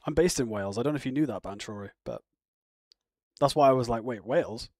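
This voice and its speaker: A man's voice: medium pitch, fast talker, darkish tone, no echo, clean studio sound. A man chatting casually on a live stream, rambling and answering viewer comments. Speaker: live streamer reacting to chat. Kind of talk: casual chat.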